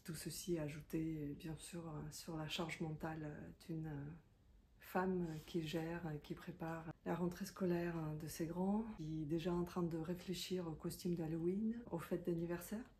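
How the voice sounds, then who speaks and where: Casting note: low-ish, warm woman, non-native speaker, reflective and hesitant. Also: flat delivery, a little slow, slight background noise. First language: not French. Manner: hesitant, many fillers and restarts; thoughtful and confiding. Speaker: content creator, self-employed. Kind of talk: reflective talking-head monologue.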